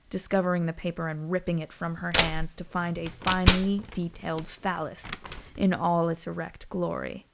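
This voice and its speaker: Creaky, low-pitched woman narrating a story. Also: background noise.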